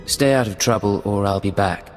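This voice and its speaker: An actor playing a confident, suave imperial secret agent in a video game, smooth and coolly menacing. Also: male voice, fairly low pitch, normal speed, natural voice. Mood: cool, threatening. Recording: game music behind the voice.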